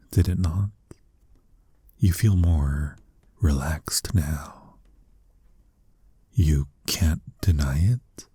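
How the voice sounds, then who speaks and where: A man playing a flirty, tender incubus in an intimate ASMR roleplay, speaking softly and teasingly with a breathy, husky voice. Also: deep voice, dry recording, full character voice. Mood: playful, tender. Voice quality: soft, breathy, husky, often near a whisper. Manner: teasing, coaxing, slow and intimate. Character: flirty, tender male incubus lust demon comforting a lover.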